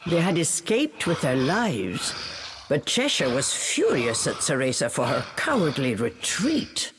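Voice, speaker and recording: Slow, dramatic female storybook narrator. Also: sits low, wildly swooping pitch, noisy background.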